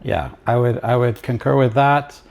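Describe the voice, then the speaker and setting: A man from Ottawa co-hosts a live podcast in a calm, considered manner. His voice is at a middling pitch for a man, and he lets his thoughts unfold slowly, with a few fillers along the way. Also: gravelly. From Ottawa, Canada. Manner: measured and reflective, with fillers. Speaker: podcast co-host. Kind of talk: casual live podcast chat.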